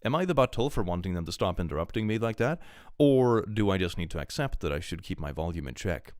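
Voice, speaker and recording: A young man streaming live with a powerful voice, reading posts aloud and chatting casually, often jumping into excited exclamations. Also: fast, smooth, no room sound, studio quality. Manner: animated, jumps into high excited exclamations.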